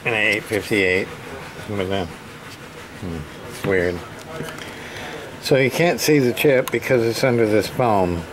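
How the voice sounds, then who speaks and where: A man giving a casual hands-on repair demonstration, thinking aloud in a hesitant, halting way as he goes. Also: lowish voice. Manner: hesitant, halting, muttering to himself. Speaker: hobbyist radio repair presenter. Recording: clear background room noise.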